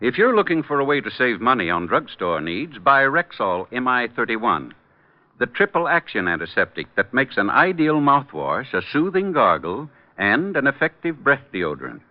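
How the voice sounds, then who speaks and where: A man's voice: mid-range voice, big pitch swings, normal speed. An American man reading a scripted commercial as an announcer in mid-century radio style. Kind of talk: scripted 1940s-50s radio advertisement. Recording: muffled old radio recording.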